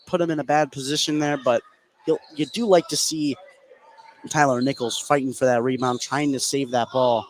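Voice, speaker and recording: A man's voice: mid-range voice, steady tone, normal speed, rough recording. A man giving conversational, explanatory color commentary on a live basketball game.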